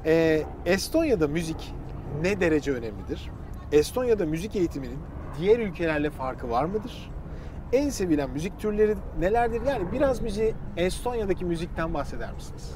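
Lively, low-voiced woman interviewer. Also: fast talker, background noise. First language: Turkish. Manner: echoes the guest's words with short agreements. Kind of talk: casual interview, bilingual.

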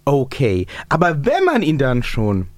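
A man's voice: medium pitch, huge pitch swings, measured pace, no room sound. A man hosting a podcast in casual chat, with dry, teasing, mock-formal banter. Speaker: podcast host.

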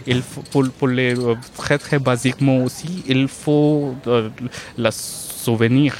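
A man's voice: medium pitch, fairly even intonation, slow pace, darkish tone, slight background noise. Indian man speaking hesitant learner French. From North India. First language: Hindi. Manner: hesitant learner's French, many restarts and fillers. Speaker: interview guest. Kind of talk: casual conversational interview.

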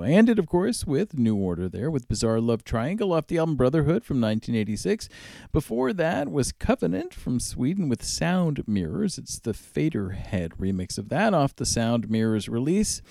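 A man's voice: mid-range voice, creaky, warm tone, no room sound, studio quality. A man hosting a music radio show, casually back-announcing songs in an upbeat, enthusiastic manner. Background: music under the voice.

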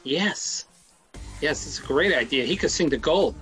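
A man hosting a dark-music podcast, with a bright, forceful voice pitched a little high. He chats in a friendly, easygoing way, quick to thank people and to laugh. Music plays softly underneath him. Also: animated. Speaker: podcast DJ host. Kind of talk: casual radio show chat.

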